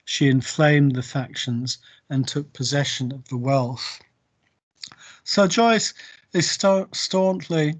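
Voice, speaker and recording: Slow, deliberate male lecturer. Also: on the high side. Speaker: university lecturer. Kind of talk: literature lecture with quotations read aloud. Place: online video-call lecture, indoors.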